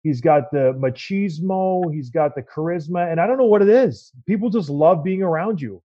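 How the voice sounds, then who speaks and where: A man's voice: on the high side, normal speed, no room sound. A man hosting a podcast, chatty and upbeat, often laughing with his guest. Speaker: podcast host. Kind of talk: casual podcast conversation. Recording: dry, slightly dull sound.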